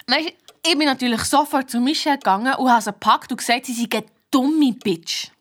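A woman's voice: sits high, fairly quick, background noise, close to an everyday voice. A young woman with a Swiss German accent playing an impulsive, hot-headed schoolgirl detective in radio play dialogue. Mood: outraged. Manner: blunt, excitable.

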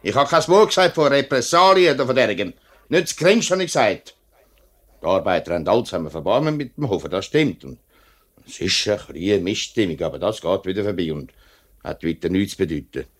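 A man's voice: lowish voice, animated, brisk, fairly bright tone. A man playing an earnest, respectful middle-aged union workman with a Swiss German accent in a 1950s radio play. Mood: indignant.

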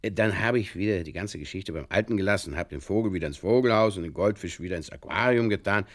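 A man playing an earnestly muddled Bavarian fishkeeper in a halting, circuitous comic radio monologue, with a Bavarian accent. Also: low voice, no echo, clean studio sound, close to an everyday voice. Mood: earnestly explaining. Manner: halting, circuitous, literal-minded, over-explaining. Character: rambling, earnestly muddled Bavarian fishkeeper telling absurd tales.

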